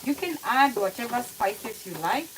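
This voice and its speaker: A woman with a Kenyan accent giving a casual cooking demonstration to viewers, explaining step by step in a halting, unhurried way. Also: a little high, expressive. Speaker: home cook hosting a cooking tutorial. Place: kitchen, indoors. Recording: noisy kitchen, sizzling frying sounds. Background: frying sizzle, stirring, clicks.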